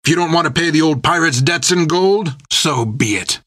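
A man voicing a cruel older pirate captain who spits his words out in a seething, shouting rage. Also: mid-range voice, expressive, takes it slowly, dry recording, clean studio sound, exaggerated voice. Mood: furious.

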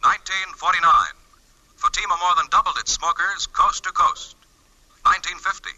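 A punchy male announcer with a raised, very bright voice and a husky edge reads vintage ad copy. The old radio recording is band-limited. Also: high voice, animated, moderate pace, no room sound, dull audio. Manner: punchy, headline-like. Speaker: commercial announcer. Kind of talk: 1950s radio advertisement read.

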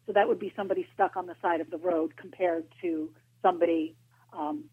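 A woman speaking as an auto club spokesperson, giving measured, practical advice with a few hesitations over the phone. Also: lowish voice, breathy edge. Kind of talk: informative interview answers. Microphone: phone line. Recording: tinny phone line.

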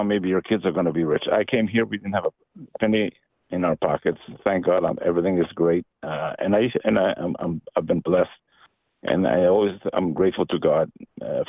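A man in his sixties, originally from Albania, calling in to a radio show and talking in a halting way with many restarts. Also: low-pitched, no room sound. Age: 60s. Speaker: caller. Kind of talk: casual phone-in chat. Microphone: telephone. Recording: tinny phone line.

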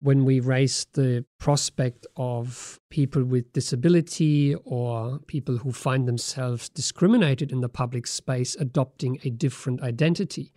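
A man's voice: mid-range voice, a little slow, hardly any pauses, warm tone, no room sound, clean studio sound. A man co-hosting a podcast, discussing in a casual way and asking rhetorical questions. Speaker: podcast co-host.

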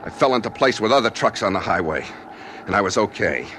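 An actor playing a nervous, desperate drifter posing as a truck driver in a 1940s radio drama, hesitant and evasive, with first-person noir narration. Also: male voice, fairly low pitch, expressive, brisk, natural voice. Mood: brooding narration. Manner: hesitant, evasive, stammering when questioned. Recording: noisy 1940s radio broadcast recording.

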